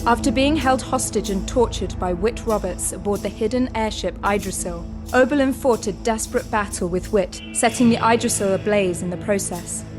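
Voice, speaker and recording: A woman narrating an audio drama recap in a measured, steady storytelling voice. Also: mid-range voice. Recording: music under the narration.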